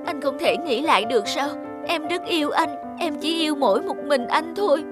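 A woman's voice: high voice, normal speed, natural voice. A woman playing an earnest, heartbroken young woman in a cartoon, speaking her thoughts with emotion. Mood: pleading, despairing. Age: young adult. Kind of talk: cartoon dialogue and inner monologue. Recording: music under the voice. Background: music.

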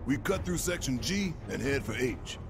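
An actor playing a big, hot-headed resistance fighter in a video game, with a gruff American voice and a brash, slangy, theatrical delivery. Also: male voice, mid-range voice, animated, normal speed, over music, lightly acted. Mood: determined. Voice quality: gruff, gravelly.

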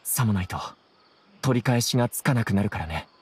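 A man's voice: lowish voice, animated, a little fast. A man playing an earnest, troubled young scholar with polite speech in a Japanese game. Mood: resolute. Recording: clear background noise, insect ambience.

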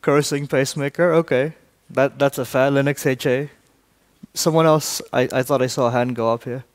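A man from Malaysia giving a technical talk in a large conference hall, briskly quizzing the audience. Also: medium pitch, steady tone. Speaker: conference speaker.

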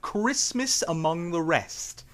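A woman playing a cheerful, kindly young nephew in a radio play, earnest and warm. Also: lowish voice, expressive, slow. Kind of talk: old-time radio play acting.

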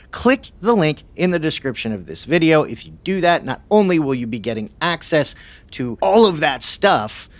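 A man in his thirties hosting a casual, conversational software tutorial, on a close broadcast mic in a small studio. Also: on the high side, no echo. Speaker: video tutorial host. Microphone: close broadcast mic on boom arm.

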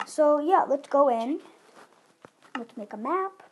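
A woman's voice: high voice, lively intonation, slow, background noise. A woman giving casual, playful, chatty commentary during a video game roleplay. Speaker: gaming video host.

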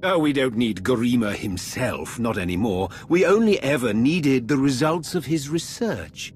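Brief: a man doing a smug villainous dark lord, expressive mid-range voice. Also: medium pitch, moderate pace, soft background music, lightly acted. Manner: suave, mocking, cool. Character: smooth scheming male Sith lord. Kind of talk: video game cutscene.

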